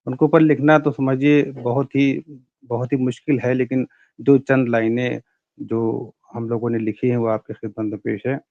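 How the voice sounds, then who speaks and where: A man speaking as a poet on a remote link, formally thanking the host and then chanting his verse in long, drawn-out, sung lines. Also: medium pitch, level tone, no room sound, lo-fi sound. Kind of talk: reciting verse in a slow chant.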